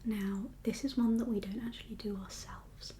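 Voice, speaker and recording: A woman doing a whispered ASMR roleplay as a doctor, speaking in a breathy, gentle, reassuring and slightly hesitant consultation manner. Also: medium pitch, steady tone, moderate pace, a little hiss or hum.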